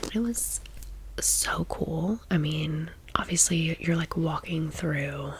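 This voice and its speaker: A woman's voice: low-pitched, slow, slightly rough. A woman in her thirties whispering a casual ASMR storytime in chatty, slangy millennial phrasing. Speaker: ASMR creator.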